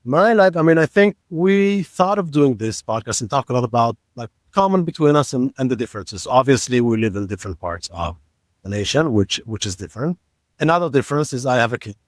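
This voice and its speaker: A man in his thirties from Israel with an Israeli accent, co-hosting a casual podcast and talking in a halting, joking way with fillers. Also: medium pitch, expressive, no room sound. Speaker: podcast co-host. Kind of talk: casual chat.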